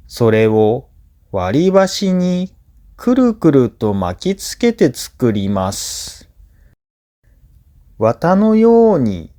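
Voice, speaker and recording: A man reads scripted teaching material at a very slow, careful pace. His voice sits a little high and moves up and down in a lively way. He breaks sentences into short, deliberate segments so learners can follow. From Japan. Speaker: language teacher and narrator. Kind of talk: scripted listening-practice narration.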